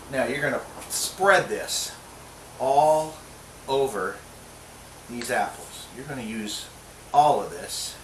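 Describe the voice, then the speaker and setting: Jokey middle-aged man cooking in a roomy kitchen. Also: medium pitch. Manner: jokey, chatty, talks to himself while cooking. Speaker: cooking show host. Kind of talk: casual cooking demonstration. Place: home kitchen. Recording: roomy kitchen sound from a distant mic, clear background noise.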